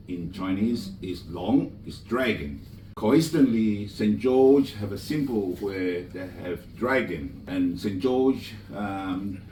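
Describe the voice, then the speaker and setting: A man's voice: medium pitch, darkish tone, a little hiss or hum. A man from Malaysia, master of ceremonies at a book launch, telling chatty anecdotes in slightly non-native English. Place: bookshop event room. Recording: roomy, from a distance.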